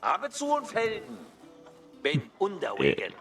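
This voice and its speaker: A hearty medieval game unit with a high, bright voice. His delivery is eager and declamatory, swinging widely in pitch over some music. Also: huge pitch swings, a little slow, gravelly, full character voice. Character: hearty medieval German knight and working villager.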